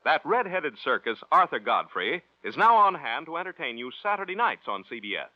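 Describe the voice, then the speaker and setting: A man's voice: on the high side, very animated, brisk, no echo. A male network radio announcer reading credits and a promo in polished 1940s style. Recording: dull vintage radio recording.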